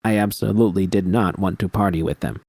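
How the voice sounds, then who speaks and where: Male audiobook narrator for first-person fiction, low and dark in tone, scripted read. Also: fast, no echo, clean studio sound.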